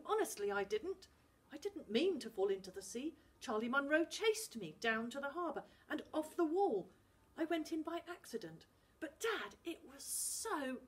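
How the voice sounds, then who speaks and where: A woman reading a story aloud, voicing a young boy who pleads in an excited rush. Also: fairly high pitch, husky, pitched above the performer's own voice, heavily acted. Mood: pleading.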